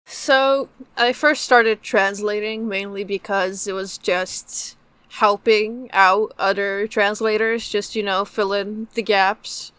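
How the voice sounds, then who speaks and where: A woman's voice: medium pitch, steady tone, slow, a bit bright, smooth, dry recording. A young adult woman, a native Polish speaker, answering interview questions casually as a guest. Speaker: guest, game translator and developer.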